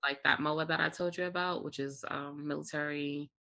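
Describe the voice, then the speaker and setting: Bright-voiced air traffic controller explaining the job. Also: medium pitch, fairly even intonation, moderate pace, vocal fry. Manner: explains step by step with examples, frequent "you know" and "like". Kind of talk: casual explanatory interview conversation.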